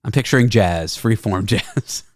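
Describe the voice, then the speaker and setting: A man in his thirties hosting a podcast, chatting casually with teasing, deadpan jokes. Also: fairly low pitch, a little slow, dry recording, clean studio sound. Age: 30s. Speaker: podcast host. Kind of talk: casual comedic chat.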